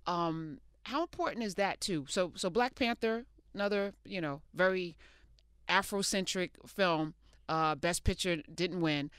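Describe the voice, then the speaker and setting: A woman's voice: lowish voice, unhurried, a bit bright, clear, resonant tone, no echo, clean studio sound. A middle-aged woman with an American accent, interviewing as a radio host in a casual, blunt, colloquial way. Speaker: radio talk show host. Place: small radio studio. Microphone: close studio microphone on boom arm.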